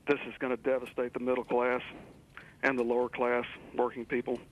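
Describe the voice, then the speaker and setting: A man from the United States phoning a call-in show to give an earnest, emphatic, folksy opinion in deliberate phrases. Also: medium pitch, completely flat delivery. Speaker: radio and TV phone-in caller. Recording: voice over phone line to broadcast.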